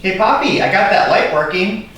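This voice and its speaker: A man's voice: high-pitched, moderate pace, fairly bright tone. A man chatting casually as he works on a repair in a home how-to video. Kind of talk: casual chat while working. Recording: clearly noisy, clattering tools.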